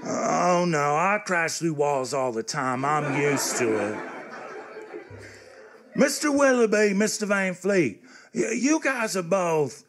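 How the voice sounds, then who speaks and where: A man's voice: on the high side, very animated, slow pace, a bit bright, heavily acted. An actor playing a naive, earnest teenage handyman with a hesitant, guileless manner in comic radio theatre. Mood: puzzled, sheepish. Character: dim, earnest, naive eighteen-year-old small-town handyman lad.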